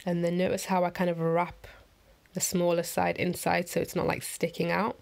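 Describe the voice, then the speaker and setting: Woman vlogger, upbeat and rambling, gravelly morning voice, lowish pitch. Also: monotone, normal speed. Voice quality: croaky morning voice. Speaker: fashion vlogger. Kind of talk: casual tutorial voiceover. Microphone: voiceover mic.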